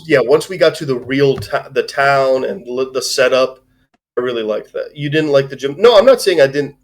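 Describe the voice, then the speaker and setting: A man's voice: mid-range voice, expressive, normal speed. A man hosting a casual movie review chat, giving opinionated but hedged takes with frequent digressions. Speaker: podcast host.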